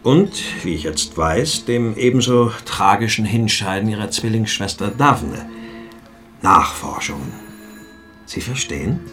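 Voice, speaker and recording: A shrewd, ailing police inspector in a radio drama, speaking in a low voice. He is courteous on the surface, wry and knowing underneath, always insinuating. Faint music sits behind him. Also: animated, measured pace, fairly bright tone. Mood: wry courtesy.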